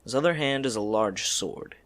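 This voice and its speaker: A man's voice: mid-range voice, animated, moderate pace, fairly bright tone. A man reading scripted story narration for an audio drama.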